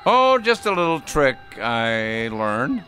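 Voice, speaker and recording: A man's voice: mid-range voice, sing-song, slow, bright, forceful tone, full character voice. An actor playing a kindly, whimsical old man in a radio drama, with a hesitant, courtly manner. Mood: playful. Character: gentle, whimsical old man with secret powers. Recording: radio drama with background music.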